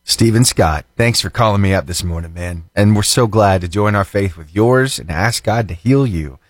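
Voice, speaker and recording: A man's voice: lowish voice, moderate pace, dry recording. Man, radio host, studio mic, slightly itchy throat, upbeat chatty talk. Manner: animated, fervent praying then chatty and upbeat. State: slightly itchy throat, voice lower than usual. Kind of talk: prayer and casual radio chat. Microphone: studio microphone.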